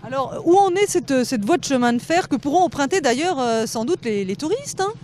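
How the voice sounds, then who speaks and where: A woman's voice: sits high, animated, fairly quick, a little mellow. A woman reporter interviewing people outdoors in a forest, chatty and hesitant with frequent fillers. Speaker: radio reporter.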